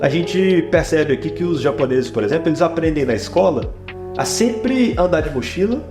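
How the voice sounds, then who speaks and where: A Brazilian man speaks in a somewhat high-set voice as an interview guest. He explains things conversationally and at length, often checking in with little tag questions. The room is audibly echoey, with guitar music playing clearly behind him. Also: lively intonation, moderate pace. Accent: Brazilian Portuguese. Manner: talkative, explanatory, frequently adds tag questions. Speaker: interview guest, photographer and production director. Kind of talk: conversational interview answers with personal anecdotes.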